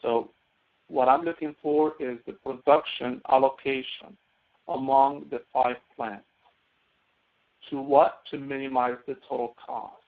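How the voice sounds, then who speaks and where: A man's voice: medium pitch, fairly even intonation, a little slow. A man giving a step-by-step tutorial lecture as an instructor, often asking questions and answering them himself. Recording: narrow phone-like audio.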